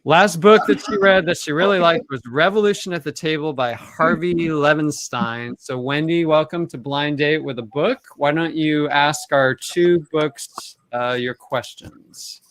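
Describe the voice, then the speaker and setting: A man's voice: on the high side, unhurried, hardly any pauses, a bit bright. A man hosting a virtual game show live over a video call in a casual, off-the-cuff way.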